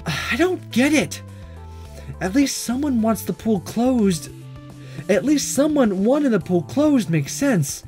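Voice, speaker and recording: A let's player voicing an anxious, defensive high school girl from a visual novel, halting and insistent, over game music. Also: animated, darkish tone. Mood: flustered.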